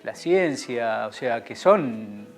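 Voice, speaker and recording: A man talks slowly and hesitantly, with lots of fillers. His voice sits at a middle pitch, and faint music plays behind him. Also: lively intonation. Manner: hesitant, many fillers and restarts. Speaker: interviewee. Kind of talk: casual interview talk.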